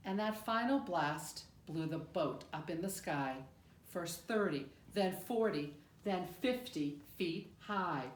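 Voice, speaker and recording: A woman reading a rhyming picture book aloud in an expressive, measured storytelling manner with deliberate pauses. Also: lowish voice, a little hiss or hum. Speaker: storybook reader. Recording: roomy, echoing room sound.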